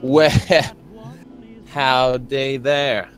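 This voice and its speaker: Tabletop game role, male player: lanky country mechanic, polite folksy drawl, simple and eerily friendly, mid-pitched, faint music behind. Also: fairly even intonation, extremely slow.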